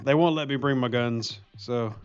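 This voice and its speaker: A man's voice: mid-range voice, moderate pace, smooth, muffled recording. A man in casual stream banter, giving blunt, opinionated rants. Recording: faint music behind.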